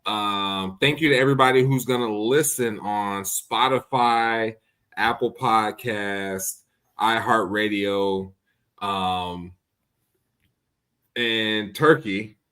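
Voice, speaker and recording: A man hosting a casual podcast, relaxed, with filler ums as he wraps up the show. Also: lowish voice, slow, clean tone. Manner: relaxed, with filler ums while listing thank-yous. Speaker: podcast host. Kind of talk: casual podcast chat and sign-off.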